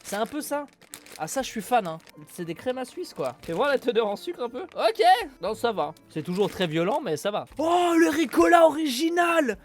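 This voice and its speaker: A man streaming live, chatting casually as he unboxes a package, with loud exclamations and casual swearing. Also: very high-pitched, very animated, moderate pace. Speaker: streamer opening a viewer's package.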